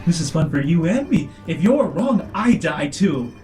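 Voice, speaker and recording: A man's voice: fairly high pitch, sing-song, moderate pace, mellow, soft background music, voice pushed up. Man playing a theatrical, taunting, playful villain. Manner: dramatic, sing-song, teasing.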